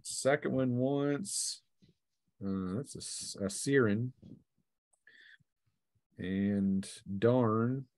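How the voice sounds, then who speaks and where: A man with a medium-pitched voice runs a tabletop role-playing game. He narrates the action in a casual, halting way and drops in and out of rules talk as he goes. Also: a little mellow, dry recording. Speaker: game master.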